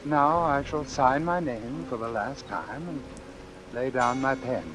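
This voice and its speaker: An actor playing an anxious, doomed man in a vintage radio suspense drama, speaking in a hesitant, measured way. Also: medium pitch, lightly acted. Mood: resigned. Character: anxious doomed man who arranged his own killing. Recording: vintage radio recording with music and rain. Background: music and rain.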